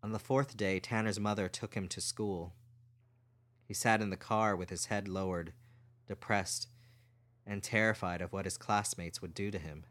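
A man's voice: on the low side, steady tone, moderate pace, a bit bright. A man reading fiction aloud as a scripted story narrator. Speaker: audiobook narrator.

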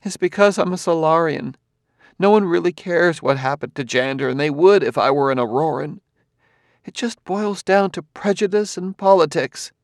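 A man's voice: a little high, expressive, moderate pace, no room sound, studio quality, pitched above the performer's own voice. A man voicing an earnest, wounded, idealistic woman who pleads insistently in an audiobook novel. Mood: bitter, upset. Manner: earnest, insistent, breaking into hushed speech.

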